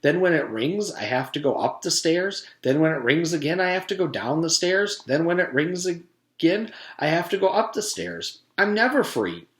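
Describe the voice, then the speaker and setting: A man's voice: fairly high pitch, animated, moderate pace, reverberant, pitched above the performer's own voice, lightly acted. A man voicing an earnest schoolboy who longs to be free, plaintive and insistent, in a storybook reading. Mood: frustrated, plaintive.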